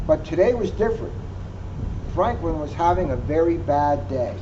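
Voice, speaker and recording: Man reading a picture book, fairly high voice. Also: animated, moderate pace. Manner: wry asides to the listener. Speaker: police officer. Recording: muffled lo-fi recording with faint hum.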